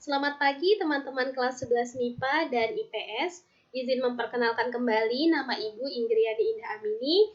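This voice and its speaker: A woman teacher from Indonesia explaining math step by step in a recorded online lesson, patiently slowing down on formulas. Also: on the high side, muffled recording. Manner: patient, steps through formulas aloud, slows on terms.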